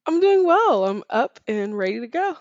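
A woman's voice: a little high, very animated, normal speed, no echo. Woman guest, chatty, on dull audio. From Barbados. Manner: informal, peppered with "like" and "you know". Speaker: podcast guest. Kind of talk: casual conversational interview answers.

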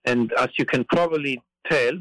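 A middle-aged man from Italy with an Italian accent, telling a story as a guest on a podcast, pausing often with fillers. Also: medium pitch, sharp tone, dry recording, poor audio. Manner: hesitant, many "uh" and "you know" fillers. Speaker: guest, theology professor.

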